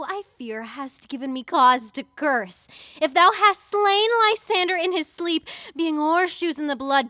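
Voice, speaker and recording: A woman's voice: high voice, sharp tone, dry recording, clean studio sound, full character voice. A woman playing a fierce, heartbroken young lover in a Shakespeare audio drama, impassioned and accusing in verse.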